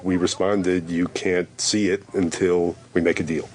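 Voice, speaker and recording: A man's voice: deep voice, flat delivery, a little slow, rough recording. A man, a film producer, recalling events in a conversational interview.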